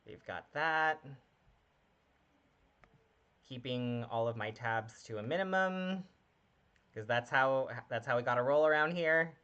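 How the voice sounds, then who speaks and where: A man's voice: mid-range voice, animated, measured pace, pauses often, fairly bright tone, clean tone, lo-fi sound. A man casually commenting on a game he is playing live, chatting with viewers and greeting them by name, often laughing at himself. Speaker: streamer.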